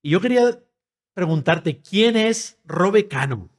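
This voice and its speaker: A man's voice: sits high, lively intonation, takes it slowly. Eager male co-host. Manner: eager, hesitant questioning. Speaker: podcast co-host. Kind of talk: podcast interviewing. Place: creative studio in Madrid.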